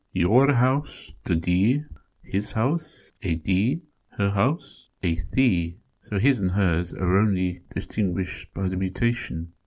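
Podcast host: a man with a deep, slightly husky voice, measured and methodical as he explains. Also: a little mellow, low-quality recording. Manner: measured, explanatory, works through points one by one. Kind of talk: solo educational podcast talk.

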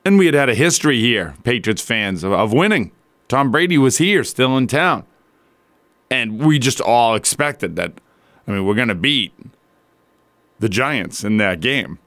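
A man with a slightly rough, strained voice hosting a sports talk radio show in a casual, rambling, conversational way. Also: medium pitch, animated, measured pace, a bit bright, no room sound. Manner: colloquial, rambling, conversational asides.

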